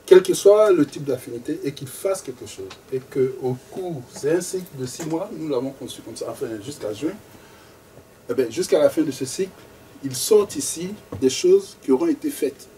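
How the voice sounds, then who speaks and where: A man presenting to a group as facilitator of a networking meeting, measured and repeating phrases for emphasis. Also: on the high side, lively intonation, warm tone. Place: meeting room in a bookshop. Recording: distant mic, faint room noise.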